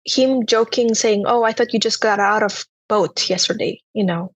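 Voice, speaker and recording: A young woman from Turkmenistan with a Turkmen accent, telling her personal story as an interview guest in a halting way, with many "you know" fillers. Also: mid-range voice, dry recording.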